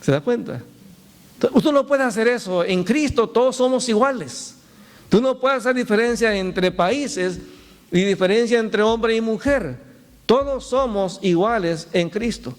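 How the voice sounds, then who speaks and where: A man's voice: high voice, a little slow. A man from El Salvador, a pastor preaching a sermon who tells stories with laughter and speaks directly to his congregation.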